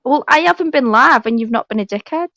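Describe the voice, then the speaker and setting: Woman, livestream guest, bit high-pitched, cheeky, sweary, dry close sound. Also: animated, a bit bright, no room sound. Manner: cheeky, sweary, quick comebacks. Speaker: streamer guest. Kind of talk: casual banter.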